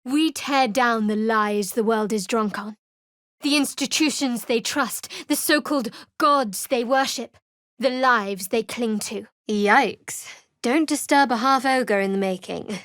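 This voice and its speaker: An actress playing a guarded, sardonic young priestess of a dark goddess in a video game, with a dry, secretive manner. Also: female voice, on the high side, a little slow, no room sound. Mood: dry, wry.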